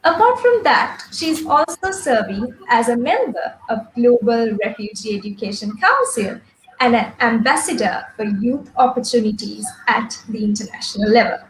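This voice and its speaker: A woman's voice: fairly high pitch, lively intonation, normal speed. Sri woman moderating in an echoey room. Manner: polite, formal, reads guest bios. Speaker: webinar moderator. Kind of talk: formal scripted introduction. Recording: echoey room with faint background noise.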